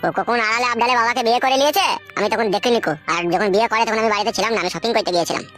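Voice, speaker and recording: A woman's voice: a little high, rapid-fire, a bit bright, faint music behind, close to an everyday voice. A woman voicing a brash, argumentative young village son-in-law in a comedy cartoon. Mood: defensive. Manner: argumentative, dismissive.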